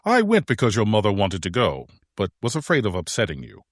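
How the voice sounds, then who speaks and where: A man's voice: lowish voice, wildly swooping pitch, a little fast, no room sound, studio quality, full character voice. Man as a theatrical, swooping realm guardian uncle. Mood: genial, courtly. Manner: grandiose, florid. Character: theatrical, gruff-then-genial uncle guarding a hidden realm. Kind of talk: audio drama character acting.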